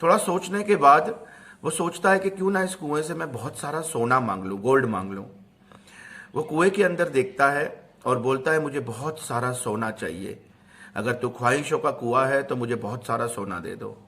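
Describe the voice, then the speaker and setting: Male storyteller, medium pitch, informal motivational delivery, explanatory with repeated phrases for emphasis. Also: normal speed, a bit bright.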